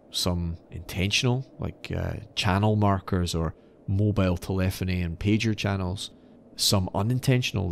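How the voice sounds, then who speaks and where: A man hosting a podcast, telling stories in a semi-scripted, articulate and measured explanatory way. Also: sits low, vocal fry, a little hiss or hum. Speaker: podcast host. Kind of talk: semi-scripted storytelling narration.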